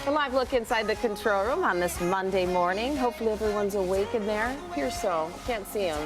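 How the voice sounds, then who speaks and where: A woman's voice: mid-range voice, brisk, over music, poor audio. A woman presenting morning TV news as an anchor, in a conversational style.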